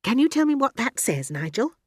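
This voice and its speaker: A woman voicing a gentle, encouraging female schoolteacher in a storybook, kindly, prim and attentive. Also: fairly high pitch, sing-song, fairly quick, breathy edge, no room sound, studio quality, pitched above the performer's own voice.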